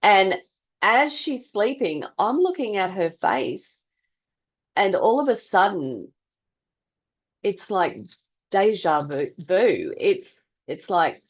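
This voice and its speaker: A middle-aged woman tells a personal story in a reflective, deliberate way with dramatic pauses. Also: medium pitch, big pitch swings, lo-fi sound. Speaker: health vlogger telling a personal story.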